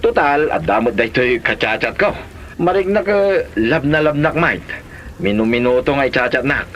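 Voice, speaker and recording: Casting: male actor for cheeky womanizing husband, Filipino accent, very lively pitch swings, noisy comedy-drama recording. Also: normal speed, highs cut off, close to an everyday voice. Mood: boastful, carefree. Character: philandering husband, boastful about his online chatmate. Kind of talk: Ilocano comedy radio drama acting.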